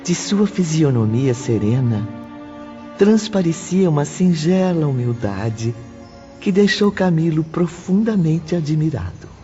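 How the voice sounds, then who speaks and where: A man narrating a scripted literary story in a measured, dramatic way. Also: a little high, expressive, warm tone. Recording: dull lo-fi audio, faint music.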